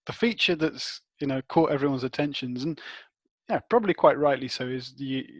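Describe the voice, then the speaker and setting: A man's voice: medium pitch, no echo. A man giving technical interview answers as an expert, thinking aloud and often rephrasing as he goes. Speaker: interviewed expert, head of research and development.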